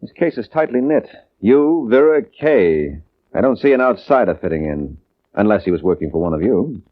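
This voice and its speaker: An actor playing a wry, flirtatious hard-boiled private detective in a 1950s radio drama, flippant and wisecracking. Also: male voice, lowish voice, very animated, moderate pace. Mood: brisk, teasing. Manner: flippant, wisecracking, calls woman angel. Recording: muffled, noisy old radio broadcast.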